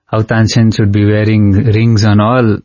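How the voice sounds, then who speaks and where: Man, native Nepali speaker, singer recounting memories, halting and unhurried, dull dry recording. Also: fairly low pitch, monotone, darkish tone, no echo. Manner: unhurried storyteller, pausing with "uh" and light laughs. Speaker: devotional singer guest. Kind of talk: reminiscing in conversation.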